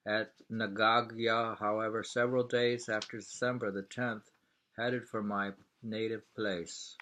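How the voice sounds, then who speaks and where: Male reader, fairly low voice, very unhurried, reads from a book and muses aloud in a conversational way. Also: little pitch movement, bright, forceful tone. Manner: unhurried, musing, pausing to hum and remark. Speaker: reader of a travel book and poems, commenting. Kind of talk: reading aloud with casual conversational asides.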